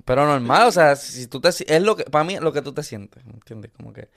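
A young man from Puerto Rico with a Puerto Rican accent, chatting casually in a relaxed, easygoing way. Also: fairly high pitch, a little fast. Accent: Puerto Rican Spanish. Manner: relaxed, with filler phrases. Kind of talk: casual podcast chat.

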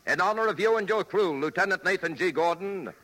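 An American male announcer reads a scripted 1940s radio tribute. His voice is high and forceful, with a clipped, declamatory delivery. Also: fairly quick, low-quality recording.

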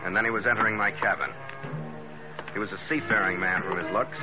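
An actor playing a tough ship's skipper, clipped and hard-boiled, in 1940s radio drama style. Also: male voice, lowish voice, steady tone, fast, close to an everyday voice. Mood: hard-boiled narrating. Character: tough, terse male ketch skipper narrating his log. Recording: dull vintage radio recording with music.

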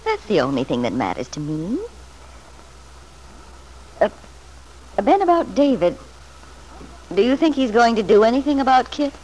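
An actress playing a scheming, flattering woman in an old-time radio soap, solicitous and emphatic with false devotion. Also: a little high, normal speed, pauses often. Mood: soothing, flattering. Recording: noisy vintage radio drama recording.

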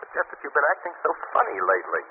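A man announcing and acting in 1940s radio drama style, dramatic and often shouting. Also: low voice, very animated, very fast. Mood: uneasy. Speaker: announcer and actor. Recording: muffled old radio broadcast with hiss.

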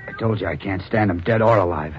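A man's voice: low voice, quick pace, natural voice. An actor playing a haunted police reporter who narrates his own grim tale in 1940s radio drama style. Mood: uneasy. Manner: dramatic first-person storytelling. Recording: old radio recording, muffled, music behind.

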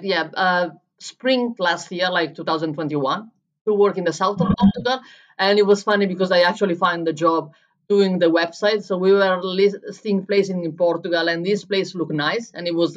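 A woman from Italy with an Italian accent, answering interview questions as a barista and coffee coach, often pausing and restarting as she looks for words. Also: fairly low pitch, muffled recording. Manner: searching for words in English, frequent restarts.

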